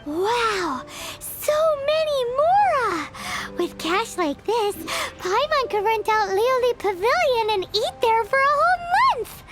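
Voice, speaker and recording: A woman voicing a small, childlike, excitable floating companion in a video game. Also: extremely high pitch, slow pace, full character voice. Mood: excited. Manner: refers to self in third person, exclamatory. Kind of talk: video game character acting. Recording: studio voice under game music.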